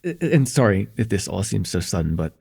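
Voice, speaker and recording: A man playing a shy, smitten young student in an intimate ASMR roleplay, with an earnest, hesitant delivery and a husky, slightly gravelly voice. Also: fairly low pitch, sing-song, a little fast, heavily acted. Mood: bashful confession. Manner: hesitant, earnest, stammering, chuckling softly between phrases.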